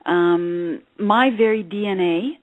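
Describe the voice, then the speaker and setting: A woman's voice: lowish voice, slow, no room sound. Earnest woman on a dry phone line. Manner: earnest, hesitant with fillers. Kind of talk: casual talk show chat.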